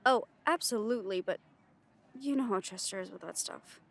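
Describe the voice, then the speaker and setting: A woman's voice: mid-range voice, moderate pace, gravelly. A woman playing a sceptical, protective werewolf packmate in an audio roleplay, with a pointed, questioning delivery. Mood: curious.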